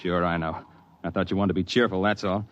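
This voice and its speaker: An actor playing a steady, hearty mountain climber who tells his own tale in a 1950s radio drama. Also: low voice, fast talker, lightly acted. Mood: steady, reassuring. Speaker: narrator and character. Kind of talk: 1950s radio drama, first-person narration and dialogue. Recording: dull band-limited 1950s radio recording.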